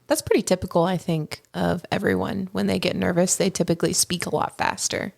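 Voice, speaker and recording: A woman co-hosts a podcast in a relaxed, chatty way and laughs easily. Her voice sits low for a woman, with a gravelly fry running through it. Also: brisk. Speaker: podcast co-host. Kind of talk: casual podcast chat.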